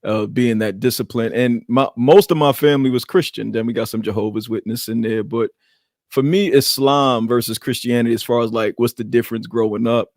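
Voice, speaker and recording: A man's voice: mid-range voice, fairly even intonation, brisk, darkish tone, dry recording, clean studio sound. A man who grew up speaking Arabic, co-hosting a podcast and telling stories from his upbringing in a loose, reflective way. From Queens, New York. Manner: reflective, loose storytelling with repeated restarts. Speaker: podcast co-host. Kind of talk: casual podcast conversation.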